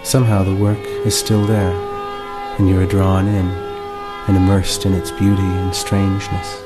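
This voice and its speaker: A man with a deep voice delivers a reflective scripted monologue as narrator. His intonation stays very level and his phrasing is deliberate and articulate, with music clearly playing behind him. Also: completely flat delivery.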